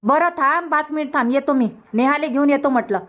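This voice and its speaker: Cartoon mother, female performer, middle-aged, disbelieving grumbler, high voice, Varhadi Marathi accent. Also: brisk, dull audio, close to an everyday voice. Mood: concerned. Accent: Varhadi Marathi from Vidarbha. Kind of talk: cartoon comedy dubbing.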